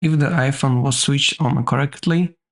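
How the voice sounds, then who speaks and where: A man narrates a how-to repair guide. His delivery is instructional, laid out step by step. His voice sits at an ordinary middle pitch for a man. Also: moderate pace, gravelly.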